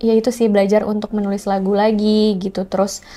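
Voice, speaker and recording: Casting: woman, twenties, mellow mid-pitched voice, expressive casual interviewee who slips into English, faint music underneath. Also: a little fast. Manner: chatty, expressive, mixes in English phrases. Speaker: singer-songwriter guest.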